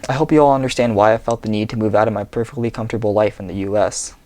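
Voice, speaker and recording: A man's voice: on the low side, racing, no echo. A young man from the Seattle area, reading a personal story earnestly from a script in a small bedroom. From Seattle, USA. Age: young adult. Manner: earnest, steady reading off a tablet. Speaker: vlogger.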